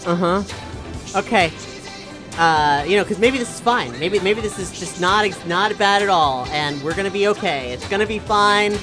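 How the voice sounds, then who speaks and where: A woman's voice: mid-range voice, lively intonation, normal speed, over music. A woman playing a tabletop role-playing game, talking in a casual, improvised way.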